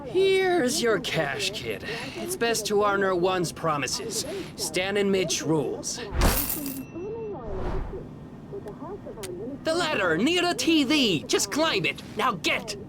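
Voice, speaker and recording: A man playing a goofy, eager small-time crook in a video game, speaking in an animated way. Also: high-pitched, takes it slowly, a loud crowd, exaggerated voice. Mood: brisk, friendly.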